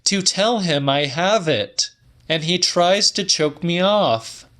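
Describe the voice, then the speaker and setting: A high, bright young woman played by a man, exasperated and close to tears. She pleads and snaps in a dramatic audiobook style, pushing her words out with force. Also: takes it slowly. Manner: plaintive, snappish.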